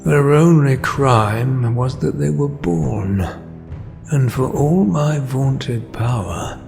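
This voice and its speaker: A grieving, vengeful mutant leader in an audio drama. He speaks very slowly and solemnly in a gravelly, brooding voice, over loud dramatic music. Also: medium pitch.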